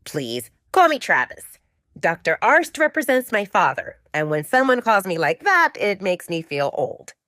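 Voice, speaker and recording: Woman narrator as a courteous young male doctor, animated. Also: mid-range voice, unhurried, dry recording, studio quality. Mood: friendly, courteous. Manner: courteous, deferential. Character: friendly, polite young male pack doctor, deferential but professional. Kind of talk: audiobook romance drama acting.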